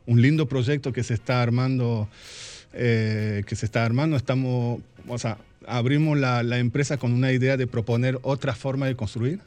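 A man's voice: medium pitch, fairly even intonation, normal speed, darkish tone. A man born in Argentina and raised in France, a guest on a radio show, speaking hesitantly with many fillers. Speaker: guest, carpentry business owner. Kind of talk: radio interview.

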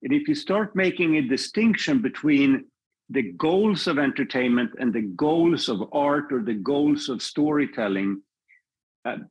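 A male filmmaker being interviewed on a podcast, talking in a measured, reflective way and often restarting his sentences. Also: a little high, lively intonation, breathy edge, low-quality recording. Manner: thoughtful, measured, with frequent restarts. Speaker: filmmaker guest.

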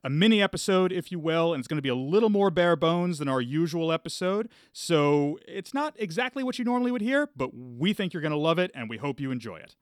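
A man's voice: a little high, sing-song, quick pace, few pauses, no room sound, studio quality. A man hosting and playing on a show, talking casually as he introduces things to the listeners. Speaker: host and player on the show.

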